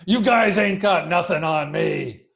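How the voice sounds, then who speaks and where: A sneering escaped convict played by a man in an old-time radio crime play. His voice sits fairly high, and he talks in rough, cocky street slang, full of threat. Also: unhurried, fairly bright tone, lo-fi sound, exaggerated voice. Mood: defiant.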